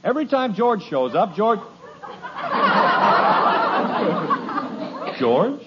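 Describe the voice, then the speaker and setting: A man's voice: very high-pitched, huge pitch swings, extremely slow, very noisy, heavily acted. An actor playing a harried suburban father who is sarcastic and exasperated, in 1950s radio comedy. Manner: exasperated, wry. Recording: muffled old radio broadcast.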